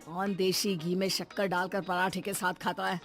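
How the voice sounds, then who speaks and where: एक महिला हिंदी कहानी में बेसब्र, रूखे जवान बेटे का किरदार निभा रही है, झिड़कने और टालने वाले लहजे में।. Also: female voice, on the low side, level tone, normal speed, soft background music, voice pushed down, natural voice. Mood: exasperated, scolding. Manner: curt, scolding, exasperated. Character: grown son, impatient, dismissive, cold toward his mother. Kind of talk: Hindi moral story dramatisation.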